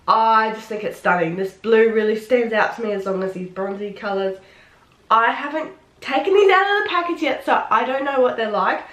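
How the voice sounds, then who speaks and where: A woman with a head cold, sounding nasal and sniffly, chatting excitedly through a casual makeup tutorial. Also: fairly high pitch. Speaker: beauty vlogger. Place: home room. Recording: roomy, echoey room.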